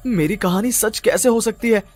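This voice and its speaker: A man's voice: sits high, normal speed, voice pushed up. A man voicing an anxious, pleading young husband in a dramatized horror cartoon story, agitated and prone to stammer. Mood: panicked, frightened. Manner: agitated, stammering when frightened.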